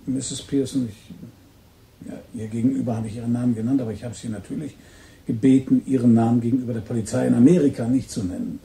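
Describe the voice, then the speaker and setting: A courteous, officious man played by a male actor speaks in a very dark, mellow voice. He is formal and a little hesitant, in a roomy radio drama scene. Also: mid-range voice, steady tone, quick pace, vocal fry, close to an everyday voice. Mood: defensive, polite. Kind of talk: German radio drama acting.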